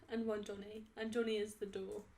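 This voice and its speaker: A woman's voice: medium pitch, little pitch movement, normal speed, darkish tone, slight background noise. A woman in her late teens in a group talk, speaking thoughtfully and chiming in on others. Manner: thoughtful, chimes in, trails off with likes. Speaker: group member, guest. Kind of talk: casual group discussion. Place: meeting room.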